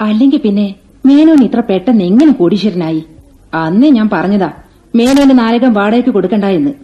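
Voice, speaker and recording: A woman's voice: mid-range voice, lively intonation, fairly quick, lightly acted. A woman playing a resolute, motherly keeper of the house. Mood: firm. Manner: firm, protective, motherly. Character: lonely, resolute woman guarding the family house. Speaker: radio drama actor. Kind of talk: 1980s Indian radio play acting. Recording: dull old radio recording with faint music.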